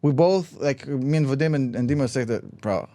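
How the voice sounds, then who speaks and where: A man's voice: medium pitch, normal speed, dry recording, studio quality. A man who is not a native English speaker, with a noticeable accent, hosting a casual podcast chat and telling stories with a laugh. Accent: foreign accent in English. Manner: laughing, animated storyteller, says "bro" a lot. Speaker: podcast host.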